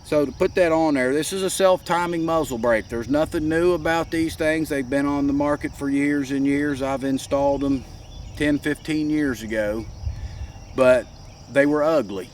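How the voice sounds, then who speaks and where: A man with a head cold, hoarse and congested, giving a folksy step-by-step workshop demonstration. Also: fairly high pitch, moderate pace, distant mic, a little hiss or hum. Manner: folksy, plain-spoken, explains step by step. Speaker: gunsmith demonstrating a product.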